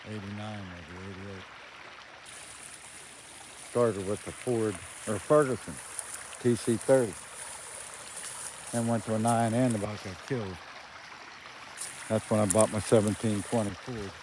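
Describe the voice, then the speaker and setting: An older man with a fairly low voice talks casually as he walks around a farm. He is folksy and plainspoken, often thinking aloud. The amateur recording is outdoors, with very loud ambient noise around his voice. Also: fairly even intonation, a little slow, pauses often. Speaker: vlogger showing his farm. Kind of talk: casual rambling walk-around commentary.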